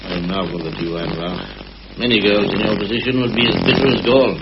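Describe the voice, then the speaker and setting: Deepish, kindly older village sergeant. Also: male voice, steady tone, fairly quick, gravelly. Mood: gentle, sympathetic. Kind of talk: mid-century British radio drama acting. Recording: muffled, noisy old radio recording.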